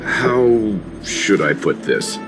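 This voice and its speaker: A man voicing a polite, cautious, serious traveler in a video game, speaking formally and deliberately. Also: on the low side, big pitch swings, heavily acted. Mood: hesitant, apologetic. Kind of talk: English video game voice acting. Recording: studio voice with music behind.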